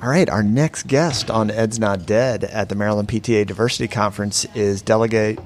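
A man hosting a podcast interview, friendly and relaxed, with quick affirmations. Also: lowish voice, slight background noise. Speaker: podcast host. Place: conference venue.

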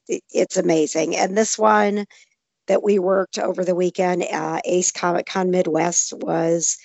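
A woman with a hoarse, gravelly voice, still recovering from losing it, chatting casually with lots of laughs and restarts. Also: fairly low pitch, a little mellow, dry recording. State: recovering from lost voice. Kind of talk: casual chat.

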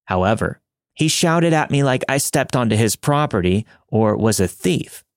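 A man's voice: medium pitch, expressive, moderate pace, dry recording, clean studio sound. A man hosting a podcast, reading scripted material as a storyteller and narrator. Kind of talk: scripted first-person story narration and host-read promo.

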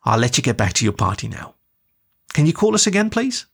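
A man voicing a gentle, middle-aged mother dog, soothing and apologetic. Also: mid-range voice, sing-song, a little fast, vocal fry, husky, exaggerated voice.

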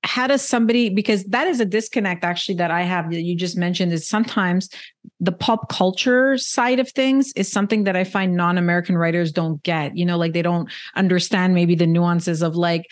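A woman's voice: on the low side, fairly quick. A woman hosting an interview, asking long, chatty questions with fillers. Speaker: interview host.

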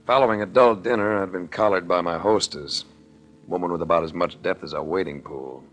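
A man's voice: low-pitched, fairly quick, fairly bright tone. An actor playing a wry, world-weary male investigator in a 1940s radio drama, speaking in a dry, sardonic, unruffled way. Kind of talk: 1940s radio drama acting and first-person narration. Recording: noisy old 1940s radio recording.

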